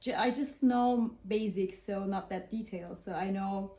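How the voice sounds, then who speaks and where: A woman's voice: medium pitch, moderate pace, warm tone. A woman in her twenties, a student, giving casual interview answers. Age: 20s. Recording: echoey, roomy sound.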